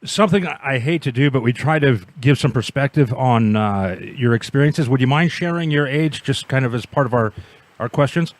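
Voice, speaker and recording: A man of middling pitch hosts the program and leads the interview. He asks in a measured, politely probing way. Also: no room sound. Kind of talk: interview hosting.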